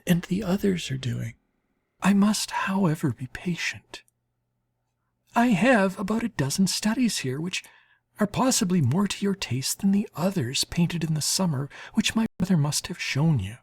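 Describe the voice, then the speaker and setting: A woman reads literary letters in a low-pitched, very breathy and husky voice. Her delivery is slow and measured, with deliberate pauses and an expressive, widely moving intonation, as in an audiobook. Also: no room sound, clean studio sound. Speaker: audiobook reader. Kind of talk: scripted literary narration of letters.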